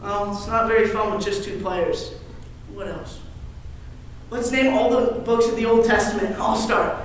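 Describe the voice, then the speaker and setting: A man's voice: high-pitched, fairly even intonation, measured pace. A man acting an earnest, plain-spoken, slightly self-satisfied young suitor in an amateur stage play. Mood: eager. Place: indoor school stage, recorded from afar. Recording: echoing far-off stage recording, faint noise.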